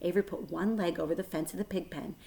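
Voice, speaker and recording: A woman reading a children's book aloud as a storyteller, expressive, with dramatic emphasis and shifting volume. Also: on the low side, fast, gravelly.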